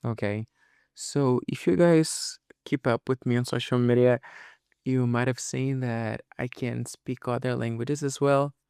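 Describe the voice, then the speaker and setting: Casting: male podcast host, native Portuguese speaker, informal explainer, noticeable vocal fry, very dry close sound. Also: medium pitch, steady tone, unhurried, darkish tone, dry recording. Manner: informal, explanatory, often checking in with "you know" and "okay". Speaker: podcast host and English teacher. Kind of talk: casual podcast monologue to listeners.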